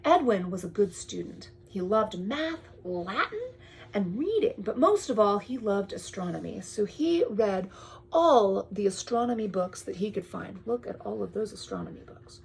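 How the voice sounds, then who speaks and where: A woman reads a picture book aloud to a young child in drawn-out phrases, often dropping to a hushed tone and adding chatty asides. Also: medium pitch, lively intonation, creaky, slight background noise. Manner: addresses the child with questions and pointers. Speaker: storyteller reading to a young child.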